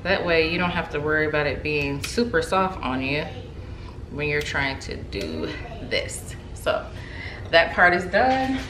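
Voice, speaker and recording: Low-voiced woman chatting in a kitchen. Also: a little slow. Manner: chatty and relaxed, many ums and likes. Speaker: home cook vlogger. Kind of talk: casual cooking demonstration. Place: home kitchen, indoors. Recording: echoey kitchen with background noise. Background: TV playing in the background.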